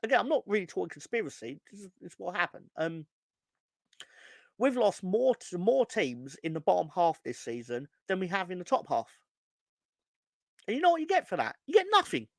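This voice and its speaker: Man, hoarse, ranting football podcast host, swears, restarts a lot, very lively pitch, dry sound. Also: a little fast, no room sound. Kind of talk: casual fan review podcast.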